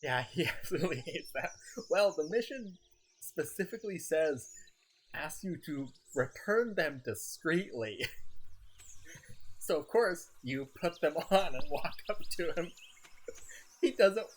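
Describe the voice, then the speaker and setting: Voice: medium pitch, lively intonation, a little slow, long pauses. Chatty streamer with a scratchy throat. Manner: rambling, chatty, laughs often. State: slightly sick, scratchy throat. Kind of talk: casual gameplay chat.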